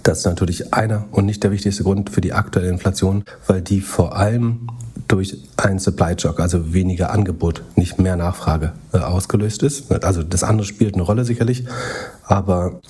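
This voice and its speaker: Husky low-voiced man with a cold. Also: a little fast, a little mellow. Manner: hesitant, lots of "äh" fillers, dry sarcasm. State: has a cold. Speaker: podcast co-host. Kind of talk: casual chat.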